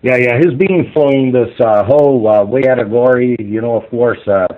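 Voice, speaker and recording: A man interpreting live on a phone conference call, speaking in halting, repetitive phrases padded with fillers. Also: medium pitch. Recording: muffled narrow-band phone line.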